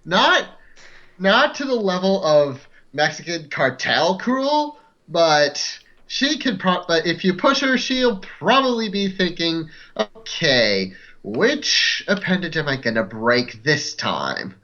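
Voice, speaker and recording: A woman chats casually as a player in a tabletop game. Her voice is bright and swings widely in pitch, with a hesitant, joking delivery. The recording is a little dull and lo-fi. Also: very animated, takes it slowly.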